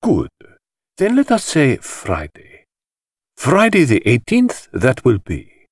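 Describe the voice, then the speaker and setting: A flamboyant, sinister gentleman host from a mystery audiobook. His delivery swoops extravagantly high and low, drawling and hissing with mockery. The sound is close and dry. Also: huge pitch swings, takes it slowly, slightly rough, dry recording, heavily acted. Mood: gleeful, excited. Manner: theatrical, hissing, drawling affectation. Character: flamboyant, mocking, sinister middle-aged male party host. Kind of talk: dramatised audiobook dialogue.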